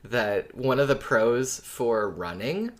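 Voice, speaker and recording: A podcast co-host talking casually and informally in a relaxed discussion. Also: mid-range voice, takes it slowly.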